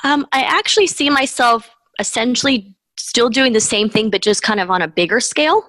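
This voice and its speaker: A woman's voice: fairly high pitch, animated, fairly quick, sharp tone, no room sound, low-quality recording. Upbeat woman guest, dry close sound. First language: Spanish. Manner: upbeat, laughs between points, many "um" and "you know". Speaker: mortgage professional, interview guest. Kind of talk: conversational interview.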